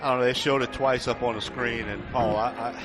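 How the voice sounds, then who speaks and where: Male football color commentator, mid-pitched. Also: steady tone, fairly quick, loud music behind the voice, rough recording. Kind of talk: conversational live football analysis.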